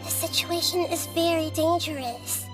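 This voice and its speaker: A woman voicing a gentle, wise child-like god in a video game, speaking earnestly and articulately. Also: very high voice, rough recording. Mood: serious, probing. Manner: earnest, articulate, measured explaining. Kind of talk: scripted video game voice acting. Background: background music.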